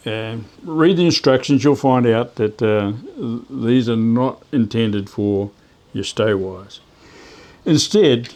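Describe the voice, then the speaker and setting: Guy showing diy tips, casual and kinda halting, mid-pitched voice, working while he talks. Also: a little mellow. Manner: hesitant, halting, talking while working with his hands. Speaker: ham radio hobbyist presenting DIY tips. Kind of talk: hands-on how-to demonstration, casual.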